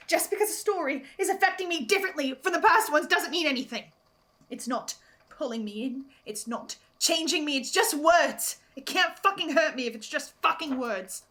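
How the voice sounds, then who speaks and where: A woman's voice: sits high, animated, moderate pace, pushed and bright, pitched above the performer's own voice. A woman playing a defensive, frightened researcher in denial in a dramatic video skit. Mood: agitated denial.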